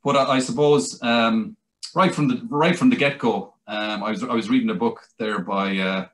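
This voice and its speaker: A man's voice: mid-range voice, fairly even intonation, moderate pace, a bit bright. A middle-aged Irish man, a translator, talking at length on a video call from a small room, with many restarts and fillers. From Ireland. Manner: rambling, many restarts and "you know". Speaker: translator and author. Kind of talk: conversational interview over video call. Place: small indoor room, plain wall. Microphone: video call webcam.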